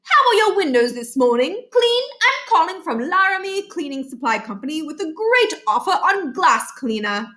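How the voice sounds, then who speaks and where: A chipper English telephone salesman in a children's storybook, as a woman acts him out. He is polite and chatty, with a voice lifted high. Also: sing-song, moderate pace, few pauses, sharp tone, full character voice.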